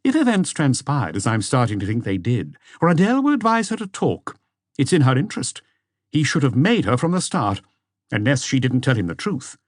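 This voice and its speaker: A man's voice: mid-range voice, wildly swooping pitch, normal speed, no echo, clean studio sound, voice pushed up. A man voicing a formal, probing examining magistrate in an audiobook, insistent in his questions. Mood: needling, reasoning.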